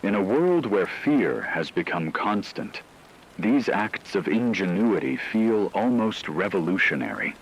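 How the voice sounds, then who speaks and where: A man playing a grave radio news anchor, reading scripted emergency bulletins in a measured, formal way. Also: lowish voice, animated, vocal fry, a little hiss or hum, rough recording.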